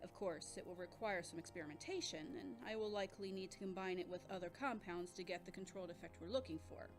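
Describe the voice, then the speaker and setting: A woman's voice: on the low side, fast talker, over music, lightly acted. A woman playing a composed military scientist in an audio drama, formal and matter-of-fact in manner. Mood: composed, businesslike.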